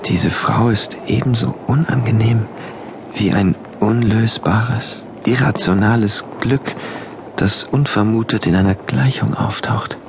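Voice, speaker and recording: A man playing an orderly, wonderstruck engineer who narrates his diary of a regimented future in measured, deliberate phrases, in a radio play. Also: lowish voice, creaky, background noise. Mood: uneasy. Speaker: narrator of an audio drama. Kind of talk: literary first-person narration in a radio play. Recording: music behind the voice.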